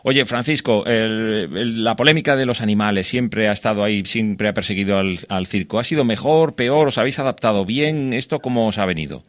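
Low-voiced male radio interviewer. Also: no room sound. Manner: frequent "ujú" backchannels, halting questions.

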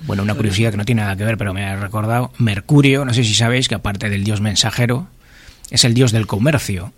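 Man, husky, fairly low pitch, Spain accent, understated, casual. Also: quick pace, no room sound. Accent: Spanish, from Spain. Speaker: guest, illustrator. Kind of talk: casual chat.

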